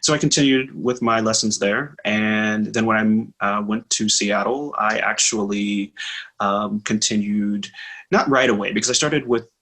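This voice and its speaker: Husky-voiced man on a video call. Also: lowish voice, steady tone, pushed and bright, rough recording. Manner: thoughtful, measured storytelling with fillers. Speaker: co-host. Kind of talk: casual video call chat. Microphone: headset on video call.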